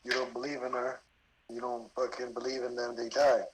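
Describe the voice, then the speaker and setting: A man's voice: mid-range voice, completely flat delivery, brisk, slight background noise, poor audio. A man in his forties, a podcast guest, talking in a laid-back way with casual swearing and chuckles. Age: 40s. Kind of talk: casual chat. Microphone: remote voice chat.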